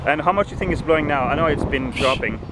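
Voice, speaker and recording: A man's voice: on the high side, fast. A man with a Greek accent, chatting and asking questions in a casual outdoor interview, laughing often and restarting his sentences. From Greece. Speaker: interviewer and kite maker. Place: windy beach outdoors. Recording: clear wind and water noise.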